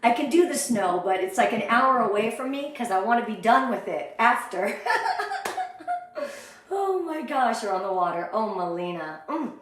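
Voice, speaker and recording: A middle-aged American woman speaking with gushing, high energy, greeting viewers on a live online motivational training. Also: animated, moderate pace, slight background noise. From Southern California, USA. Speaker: sales director leading a motivational training. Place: indoor room, live stream with ring light. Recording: very echoey, roomy sound.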